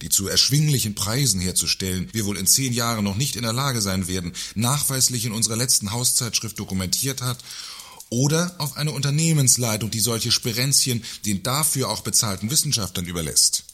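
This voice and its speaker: A man playing a pompous, husky company director. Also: medium pitch, brisk, a bit bright. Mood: condescending, stern. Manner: pompous, long-winded sarcasm. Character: condescending, sarcastic male company director, self-important. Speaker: actor in a satirical audio drama. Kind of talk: German radio play dialogue.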